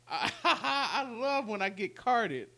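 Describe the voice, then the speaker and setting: A man's voice: extremely high pitch, big pitch swings, slow pace, vocal fry, sharp tone. A man in his late thirties chatting casually as a podcast co-host, congested from allergies, rambling and repeating himself as he goes. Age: late 30s. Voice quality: stuffy, congested nose. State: stuffy from allergies. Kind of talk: casual chat.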